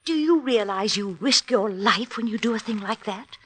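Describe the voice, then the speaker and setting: Actress as an expressive, fretful wartime woman. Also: on the high side, moderate pace, slight background noise, lightly acted. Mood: concerned. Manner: exasperated, worrying, expressive. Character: anxious, fretful woman reluctantly helping the resistance. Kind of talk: old-time radio drama acting and narration.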